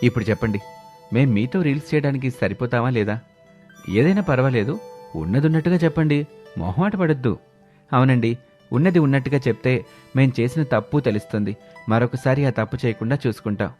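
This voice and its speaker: A man's voice: medium pitch, animated, fairly quick, long pauses, darkish tone, natural voice. A man voicing a courteous, confident young aspiring actor in a cartoon story. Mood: polite. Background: background music.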